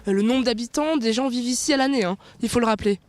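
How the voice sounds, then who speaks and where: A woman's voice: fairly high pitch, quick pace, darkish tone, a little hiss or hum. A woman interviewing locals as a courteous regional TV reporter, asking questions and recapping answers.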